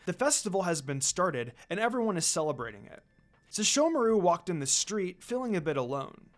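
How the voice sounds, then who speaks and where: A man's voice: sits high, sing-song, brisk. A man reading fan fiction aloud in a dramatic storytelling style.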